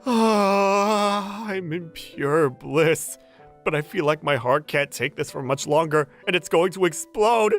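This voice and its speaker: A woman's voice: low-pitched, moderate pace, hardly any pauses. A woman voicing a flustered, lovestruck young working man who gushes and stammers in a manga dub. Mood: blissful. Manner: excitable, stammering, gushing. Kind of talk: manga dub voice acting with narration. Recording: music under the voice.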